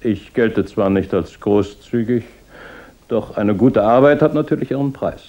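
Actor as a deep-voiced, imperious rich man. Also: unhurried, close to an everyday voice. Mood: guarded, curt. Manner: stiff, imperious, formal. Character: wealthy, stingy, curt widowed stepfather hiring a detective. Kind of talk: German radio crime drama acting. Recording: dull radio drama recording.

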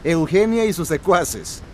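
An actor playing an older male cattle farmer and father, gruff and coughing, in a radio drama. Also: on the high side, lively intonation, slow pace, crowd noise. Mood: skeptical. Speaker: rancher Pedro.

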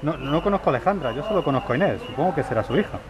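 A man playing a matter-of-fact housing activist in a radio drama, speaking briskly and plainly. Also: on the high side, lively intonation, lightly acted. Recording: very noisy outdoor street ambience.

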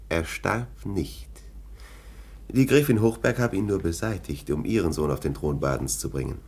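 A man's voice: low-pitched, a little mellow, husky, exaggerated voice. A man playing a stern police official with a sneering, mocking manner in a radio play. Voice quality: sneering, dry mockery. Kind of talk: 1960s German radio play acting.

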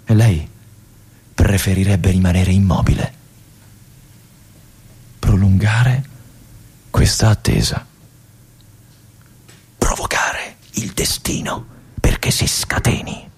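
An actor playing a restless young army lieutenant in a theatrical monologue, with an intense, halting delivery that moves between hushed and urgent. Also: male voice, low voice, husky, whispered, exaggerated voice. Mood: pleading, intense. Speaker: stage actor performing a monologue.

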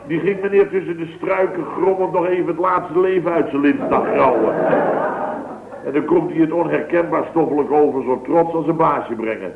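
A man's voice: on the high side, moderate pace, creaky. An actor playing a boastful, wisecracking man at a building firm, telling a rambling comic anecdote in a 1960s radio sketch. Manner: chatty, rambling anecdote with punchy comic asides. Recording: tinny, noisy, echoey old radio broadcast.

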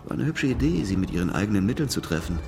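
An actor playing an arrogant, aggrieved English detective in a radio drama, eloquent, theatrical and sardonic. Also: male voice, fairly low pitch, very animated, very fast, vocal fry, music in the background. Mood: cool, sardonic. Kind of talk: radio drama acting and first-person narration.

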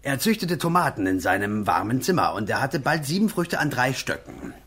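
An actor playing a simple, earthy old war veteran in a German radio play, rambling in a folksy, plain-spoken way. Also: male voice, medium pitch, sing-song, brisk, fairly bright tone, slightly rough, heavily acted. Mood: eager, defensive.

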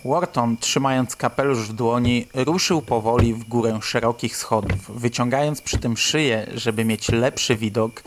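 A man's voice: medium pitch, moderate pace, hardly any pauses, background noise. A man hosting an audio drama show, presenting and narrating from a prepared script in Polish storytelling fashion. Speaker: host and narrator of a radio play podcast.

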